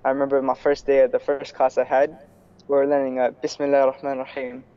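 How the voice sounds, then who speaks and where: A young man from New York, a student guest in a casual video-call interview, talking in a relaxed way with many fillers and restarts. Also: medium pitch, fast talker, clear, resonant tone. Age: young adult. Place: small room indoors. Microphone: earphone headset on video call. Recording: slightly dull video call audio.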